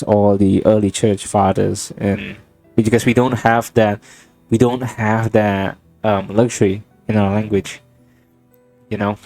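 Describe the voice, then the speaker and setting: A man's voice: on the low side, gravelly, warm tone, dry recording. A young man from Indonesia, a native Indonesian speaker, musing aloud in a rambling, reflective monologue while playing a game, often stopping and restarting his sentences. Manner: thoughtful, halting, with many restarts and fillers. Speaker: gaming vlogger.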